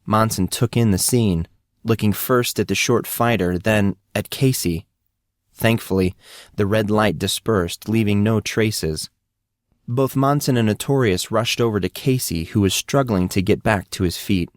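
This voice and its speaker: Male storyteller for fantasy audiobook, lowish pitch, scripted read, close dry sound. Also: a little slow, no echo. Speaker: audiobook narrator.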